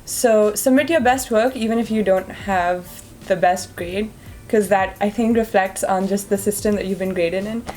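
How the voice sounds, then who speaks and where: A woman's voice: mid-range voice, normal speed, a little mellow, soft background music. Young woman, from India, student guest, frank, casual interview answers. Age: young adult.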